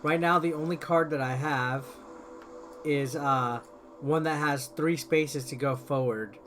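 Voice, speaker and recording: A man's voice: on the high side, fairly even intonation, measured pace, fairly bright tone. A man giving informal, rambling gameplay commentary as a video host, explaining things step by step. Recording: music behind the voice. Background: game music.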